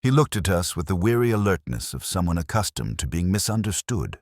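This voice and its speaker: A man playing a brooding, introspective English police detective, narrating a mystery in measured, solemn, literary phrases. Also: low voice, sing-song, dry recording, clean studio sound, full character voice. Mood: introspective, melancholy. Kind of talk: scripted first-person mystery narration.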